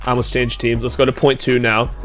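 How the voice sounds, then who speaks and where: A man who is slightly hoarse gives a casual gaming tutorial, speaking straight to his viewers and often saying "like". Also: mid-range voice, little pitch movement, quick pace, a bit bright, faint music behind. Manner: casual, talks straight to viewers, many "like" fillers. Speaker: gaming YouTuber.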